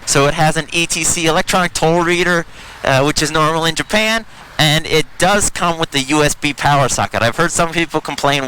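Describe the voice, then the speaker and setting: A man's voice: a little high, pushed and bright, poor audio. An American man in his thirties giving rambling, self-deprecating commentary while riding a motorcycle through city traffic, recorded on a helmet mic. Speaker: motorcycle vlogger. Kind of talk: casual ride-along commentary. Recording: faint road and engine noise. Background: traffic and engine noise.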